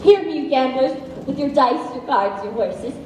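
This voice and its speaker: A high-pitched, earnest woman preacher exhorts sinners in a declamatory stage style, acted by a young woman. The voice is far from the mic in a very echoey auditorium with clear noise around it. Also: lively intonation, normal speed, mellow. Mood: preaching, fervent. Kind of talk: school musical stage acting. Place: school stage, recorded from the audience. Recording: echoey noisy school auditorium from afar.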